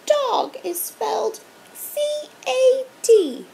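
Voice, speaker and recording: A woman's voice: lively intonation, very slow, vocal fry, mellow, a little hiss or hum, exaggerated voice. A woman voicing a fussy, strict female teacher who has turned into a hairy snow monster, reading from a storybook. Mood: dizzy. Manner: shrill, fussy, quizzing.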